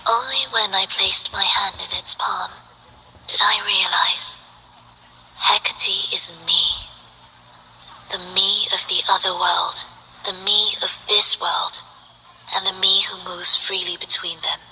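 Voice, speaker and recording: A woman playing a soft-spoken, detached and tragic guardian of the underworld in a video game, with a breathy, measured delivery. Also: mid-range voice, fairly even intonation, slight background noise, low-quality recording. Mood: solemn, mystical. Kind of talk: scripted video game character acting.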